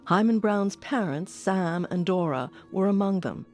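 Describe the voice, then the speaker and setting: A woman's voice: mid-range voice, expressive, unhurried, darkish tone. A woman reading scripted documentary narration as the host of an audio series. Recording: music under the voice.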